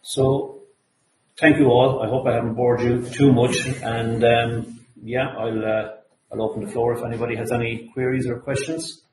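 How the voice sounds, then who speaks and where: A man giving a technical webinar presentation in a halting way, often restarting his phrases. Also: medium pitch, flat delivery, a little mellow. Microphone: video call. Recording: echoey, faintly noisy webinar audio.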